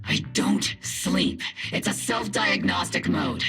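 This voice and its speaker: A woman voicing a weary intelligent construct soldier in a video game, with a gravelly, deliberate, dry delivery. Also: medium pitch, lively intonation, a bit bright, faint music behind. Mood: dry, deadpan.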